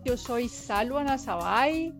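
Colombian-born woman explaining at a measured pace. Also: fairly high pitch, animated, music in the background. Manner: explanatory, measured, with fillers and restarts. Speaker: guest from an NGO. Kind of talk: interview answers.

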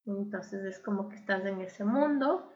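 A woman's voice: mid-range voice, measured pace, darkish tone, highs cut off. A young adult woman living abroad, speaking candidly and thoughtfully in a casual interview, with many fillers. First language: not German. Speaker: interview guest.

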